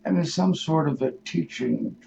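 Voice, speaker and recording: An older man, in his sixties or beyond, hosting a radio show with a rambling spiritual monologue, musing and halting, then leaning into words for emphasis. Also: fairly high pitch, expressive, creaky. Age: 60s or older. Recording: dull lo-fi radio audio with noise.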